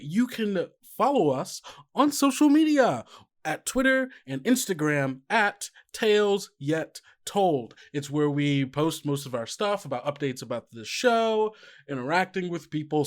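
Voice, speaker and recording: High-voiced man chatting casually as podcast host. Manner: playful, self-deprecating, rambling. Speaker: podcast host and game master.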